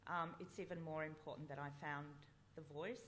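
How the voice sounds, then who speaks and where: A woman poet speaking earnestly on a festival panel, growing animated as she describes what moves her. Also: deep voice, moderate pace, a little hiss or hum. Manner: earnest, animated when moved. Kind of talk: panel discussion.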